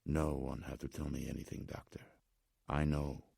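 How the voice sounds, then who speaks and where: A man voicing an anxious, formal old gentleman with a Slavic accent, speaking deliberately as an audiobook character. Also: rumbling low, gravelly, breathy edge, no echo, studio quality, full character voice. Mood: grave, cryptic. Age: older. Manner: formal, deliberate, agitated but striving to speak calmly.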